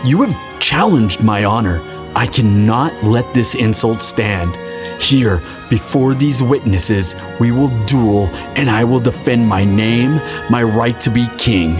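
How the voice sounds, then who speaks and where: A man's voice: medium pitch, takes it slowly, music in the background, close to an everyday voice. A man playing a proud, indignant elder crown prince in a fantasy audio drama, speaking formally and haughtily. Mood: indignant, resolute.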